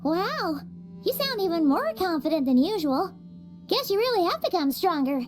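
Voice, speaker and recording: A woman voicing a small, cheerful, childlike fairy companion in a video game, with a squeaky, excitable delivery. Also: moderate pace. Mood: curious, chatty. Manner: talks about herself in third person, excitable. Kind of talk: video game cutscene voice acting. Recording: background music behind the voice.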